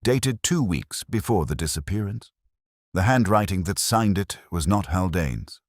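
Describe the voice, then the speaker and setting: A man acts out a world-weary detective with an extremely low voice and wide, rolling intonation. He narrates a mystery audio drama in measured, literary phrases with a brooding, wry edge. The sound is close and dry. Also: lively intonation, no echo. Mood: reflective. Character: brooding, world-weary male Oxford detective recalling an old case.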